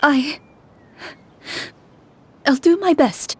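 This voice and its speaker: A woman's voice: sits high, very slow, slight background noise. A woman playing a worried, polite young townswoman in a video game, speaking hesitantly. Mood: tearful, grateful. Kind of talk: game voice acting.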